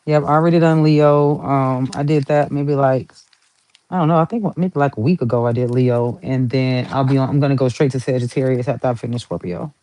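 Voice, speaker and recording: A woman's voice: very deep voice, fairly even intonation, brisk, dark tone, no echo. Southern lady doing a tarot reading, rambly and playful, just chatting away. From Southern United States. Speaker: tarot reader.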